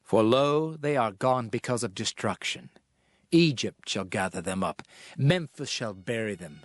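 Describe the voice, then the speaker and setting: A man's voice: mid-range voice, lively intonation, measured pace, no room sound, close to an everyday voice. A man playing a stern prophet who speaks for an angry God, declaiming scripture solemnly in a dramatized reading. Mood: grave, condemning.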